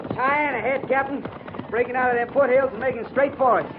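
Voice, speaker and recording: An actor playing an eager, dutiful cavalry lieutenant, speaking respectfully in a 1950s radio western. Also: male voice, extremely high pitch, fairly even intonation, fairly quick, narrow, tinny audio. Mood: urgent. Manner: respectful, military, earnest. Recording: noisy, thin 1950s radio recording.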